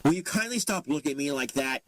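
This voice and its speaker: A man playing a composed, self-assured superhero leader who talks in a measured, dryly smug way. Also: a little high, lively intonation, background noise, natural voice. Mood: dry, defensive. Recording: loud music over the voice.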